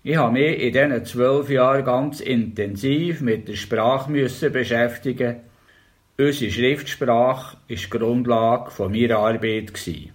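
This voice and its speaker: A man's voice: medium pitch, a little slow. Older man with a Bernese Oberland accent. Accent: Bernese German, Bernese Oberland. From Bernese Oberland, Switzerland. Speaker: storyteller. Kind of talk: reading memoirs and dialect tales.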